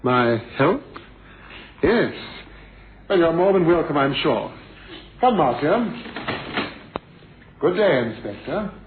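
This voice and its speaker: An actor playing a languid, haughty gentleman of leisure in a radio drama, drawling, pedantic and sardonic. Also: fairly high pitch, very animated, slow pace, long pauses. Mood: sarcastic. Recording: dull, hissy old radio recording.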